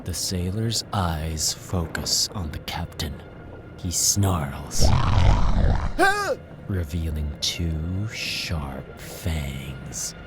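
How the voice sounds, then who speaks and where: Guy telling a scary story, low voice, slow n suspenseful, music underneath. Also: full character voice. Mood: ominous. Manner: hushed, deliberate, suspenseful. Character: young man telling a spooky tale. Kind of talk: dramatic scary-story narration.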